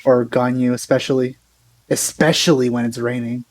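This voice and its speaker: A man's voice: medium pitch, level tone, moderate pace. A man hosting a let's play, giving casual, hesitant, rambling commentary as he plays. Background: faint game music.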